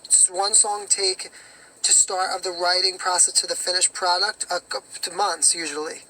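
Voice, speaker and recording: A young man with a naturally raspy voice, hoarse right now, chatting casually with fans on a livestream about making his songs, full of fillers and restarts. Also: high-pitched, level tone, moderate pace, pushed and bright, rough recording. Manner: rambling, with many fillers and self-corrections. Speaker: singer-songwriter on a livestream.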